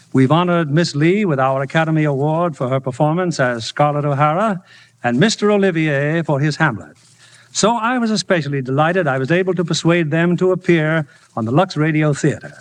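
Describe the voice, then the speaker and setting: Higher-pitched man presenting old-time radio theater. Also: animated, normal speed. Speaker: radio theater host and producer. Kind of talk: formal 1950s radio presenting. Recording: vintage radio broadcast.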